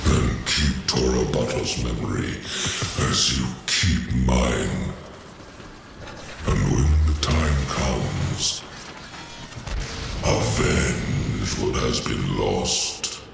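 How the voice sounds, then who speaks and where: An actor playing a huge, imperious alien emperor in a video game, pompous and theatrical with a gravelly voice. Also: male voice, a bass voice, full character voice. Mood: solemn, reminiscent. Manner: pompous, slow, theatrical delivery. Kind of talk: video game cutscene acting. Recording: loud music behind the voice.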